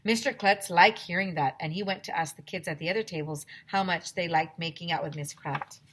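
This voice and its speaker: Animated woman reading a children's story. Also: on the low side, a little fast, background noise. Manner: expressive, theatrical emphasis. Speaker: teacher reading a children's book aloud.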